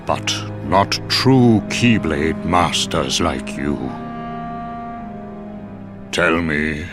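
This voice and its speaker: A man's voice: a bass voice, full character voice. A man playing a grave, wise old sorcerer in a video game, speaking in a solemn, formal manner. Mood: solemn, commanding. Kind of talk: video game cutscene acting. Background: background music.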